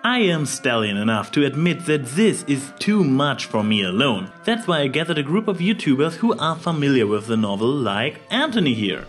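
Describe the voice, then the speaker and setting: A man's voice: medium pitch, sing-song, moderate pace, hardly any pauses, faint music behind. A man hosting a review, narrating from a script. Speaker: host, fiction reviewer.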